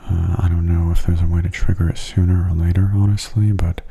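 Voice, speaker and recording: Very soft, dark-voiced man, low ASMR murmur. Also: level tone, brisk, vocal fry, husky. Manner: hushed, slipping into whispers. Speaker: video game streamer. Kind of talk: soft-spoken ASMR gameplay commentary.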